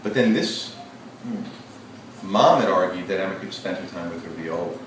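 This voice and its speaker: A male teacher leading an interactive read-aloud, questioning his class in a lively, engaging way. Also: sits low, normal speed. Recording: echoey classroom, distant mic, noisy.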